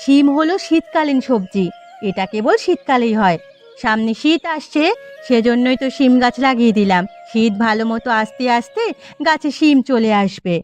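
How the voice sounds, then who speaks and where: A woman's voice: a little high, expressive, normal speed, a little mellow, faint music behind, natural voice. A woman playing a practical village mother, chiding but fond, in a cartoon story. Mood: explaining.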